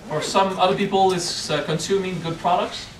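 A man's voice: high-pitched, steady tone, normal speed, a little hiss or hum. A middle-aged man with a French accent, a spiritual teacher giving a playful workshop talk and often asking "okay?". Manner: playful, keeps checking in with "okay?". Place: hotel meeting room. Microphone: far camera. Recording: echoey room from far off.

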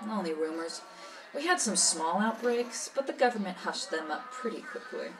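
A jaded woman smuggler acted by a woman tells her story in an audio drama interview. Her voice is low and worldly, matter-of-fact and cynical. She sounds a little far from the mic, with music clearly behind her. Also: animated, normal speed, close to an everyday voice. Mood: wry, matter-of-fact. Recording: slightly distant, music behind.

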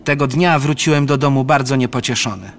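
A man's voice: medium pitch, a little fast, noisy background, natural voice. An actor voicing an earnest, polite young male piglet in a cartoon, who also narrates. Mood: narrating. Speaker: narrator and lead figure. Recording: studio cartoon audio with music behind.

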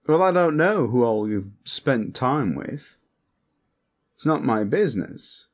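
A man's voice: mid-range voice, big pitch swings. A man voicing a lecherous, flamboyant vampire protector in a comedic audio roleplay, smug, pompous and suggestive. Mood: suggestive, coaxing. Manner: theatrical, drawn-out, suggestive, pompous. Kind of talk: comedic character roleplay monologue, talking to the listener.

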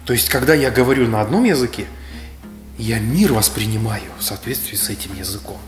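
Man in his 30s from Kalmykia, measured lecturer, roomy echo with faint music behind. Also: on the low side. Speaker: speaker on history. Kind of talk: reflective explanatory talk. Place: bright indoor room.

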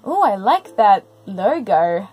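A woman hosting a reaction video, giving casual commentary with expressive, exclamatory asides. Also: medium pitch, takes it slowly, faint music behind.

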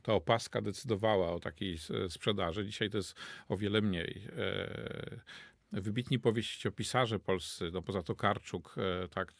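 Gravelly older man, halting professor. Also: fairly low pitch, no echo. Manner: hesitant, many fillers and restarts. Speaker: literature professor guest. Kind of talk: radio studio interview. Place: radio studio. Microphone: studio mic on desk.

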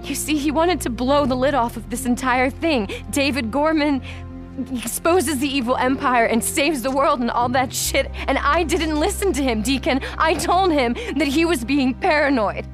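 An actress with an American accent playing an earnest, guilt-ridden scientist who survived an outbreak, speaking haltingly in a video game drama. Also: female voice, high-pitched, close to an everyday voice. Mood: agitated, regretful. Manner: halting, repeats phrases as she confesses. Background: music behind the voice.

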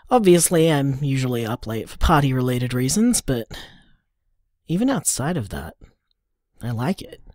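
A tender, upbeat young man in an audio drama. His mid-pitched voice moves expressively through soft, affectionate musing. The sound is dry and intimate. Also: no echo.

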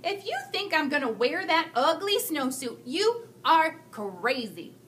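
A woman acts out a stubborn little boy from a storybook, high and bright. He is defiant and blunt, stretching out his refusals. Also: big pitch swings, unhurried, full character voice.

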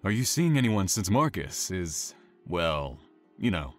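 A man playing an imprisoned killer in a horror audio drama, low-voiced and slow. He taunts and teases with smug, obsessive menace, drawing out his words over a musical backdrop. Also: sing-song, faint music behind. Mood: taunting, probing. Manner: smug, teasing, drawn-out menacing delivery.

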